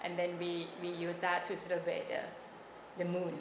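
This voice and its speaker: A woman from Thailand, a Thai speaker talking in English, being interviewed. She speaks haltingly and fills her pauses a lot while she hunts for words. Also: lowish voice, completely flat delivery. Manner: halting, many fillers, searching for words. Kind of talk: casual oral history interview. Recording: echoey room with noise.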